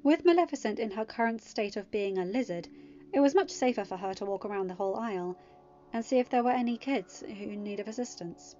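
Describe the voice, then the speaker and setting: Woman reading a story over soft music. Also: mid-range voice, a little fast, muffled recording. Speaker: fan fiction reader. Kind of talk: reading fan fiction aloud.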